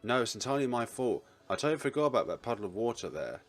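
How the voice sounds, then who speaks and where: A man's voice: medium pitch, sing-song, racing, soft background music. A man playing an easygoing, slightly awkward young man in a visual novel, casual and self-effacing. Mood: embarrassed.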